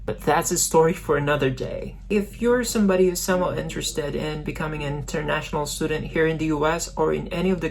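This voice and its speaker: A man's voice: on the high side, vocal fry, a little hiss or hum. A young man from the Philippines with a Filipino accent, talking casually to the camera as a vlogger. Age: young adult. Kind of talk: casual vlog talk to camera.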